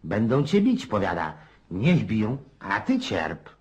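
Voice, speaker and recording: An actor playing a garrulous, cynical old Cossack in a radio play, rough-edged and folksy, prodding his listener with questions. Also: lowish voice, huge pitch swings, unhurried, a little mellow, dull audio, full character voice. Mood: animated storytelling.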